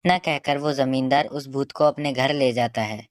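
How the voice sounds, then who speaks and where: A woman's voice: extremely low pitch, fairly even intonation, moderate pace, no echo, clean studio sound. A woman narrating a scripted cartoon story.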